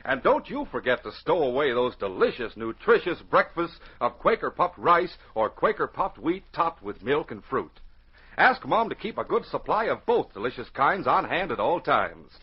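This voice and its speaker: An actor as a hearty, folksy announcer and narrator in 1940s radio style, addressing young listeners. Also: male voice, mid-range voice, big pitch swings, normal speed, slightly rough. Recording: muffled, lo-fi 1940s radio recording.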